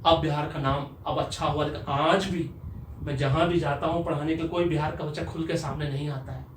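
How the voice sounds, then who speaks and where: A man's voice: a little high, steady tone, fairly quick, a little hiss or hum. A middle-aged man, a professor giving an earnest, emphatic motivational talk to camera. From Bihar, India. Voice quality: earnest, emphatic, rising when stirred. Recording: echoey room, mic far off.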